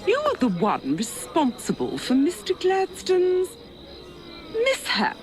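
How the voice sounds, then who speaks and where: Slow, imperious British queen. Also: high voice, huge pitch swings, music in the background, heavily acted. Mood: cool disdain. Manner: haughty, deliberate. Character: stately, imperious old queen.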